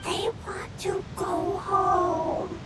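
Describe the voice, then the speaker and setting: A woman voicing a small, innocent childlike fungus creature in a fantasy video game, talking in simple, halting broken sentences. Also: very high-pitched, level tone, very noisy, heavily acted. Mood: innocent, wistful. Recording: loud music behind.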